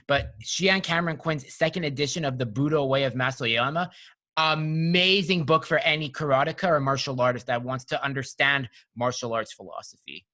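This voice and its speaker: Bright-voiced young man hosting a podcast. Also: mid-range voice, fairly quick. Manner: eager, rambling questions with restarts. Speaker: podcast host. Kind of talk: casual interview questions. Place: home room indoors. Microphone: headset with studio mic.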